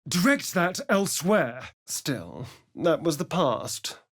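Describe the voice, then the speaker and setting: A man's voice: big pitch swings, takes it slowly, a bit bright, heavily acted. An actor playing a sardonic, vain male vampire spawn rogue in a video game, arch and teasing. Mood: sly, mocking. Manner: arch, teasing, theatrical swoops in pitch. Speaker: game companion character.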